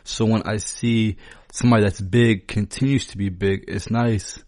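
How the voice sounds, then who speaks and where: A man co-hosts a casual podcast in a low voice with little melodic movement. The sound is dry, and he talks in an agreeable, explanatory way. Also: fairly even intonation, moderate pace, darkish tone, no echo. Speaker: podcast co-host. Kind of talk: casual conversational podcast talk.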